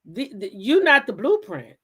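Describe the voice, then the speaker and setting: A woman's voice: on the high side, big pitch swings, moderate pace, fairly bright tone, husky. An American woman hosting a gossip talk show, giving blunt, emphatic commentary in a casual style and repeating phrases for effect.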